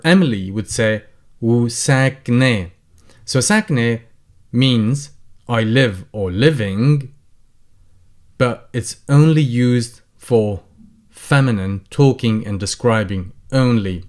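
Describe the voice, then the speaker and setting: A man who runs a language channel. He teaches beginners, reading each phrase aloud, translating it and explaining it slowly. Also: medium pitch. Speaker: Arabic teacher. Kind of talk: slow explanatory tutorial.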